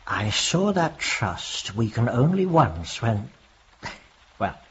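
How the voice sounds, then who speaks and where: An actor playing a reflective, gently probing prison chaplain with a husky, gravelly voice in a radio drama. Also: male voice, mid-range voice, very animated, takes it slowly, muffled recording, exaggerated voice.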